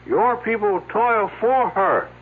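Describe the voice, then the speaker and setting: Solemn village mystic, high husky voice, unhurried ceremonial delivery, radio drama on thin lo-fi broadcast sound. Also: male voice, lively intonation, full character voice. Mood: solemn, mystical. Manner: stilted, formal, ceremonious speech. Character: solemn mystical Native Mexican villager devoted to spirits. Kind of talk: 1970s radio drama acting. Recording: lo-fi tinny old radio broadcast.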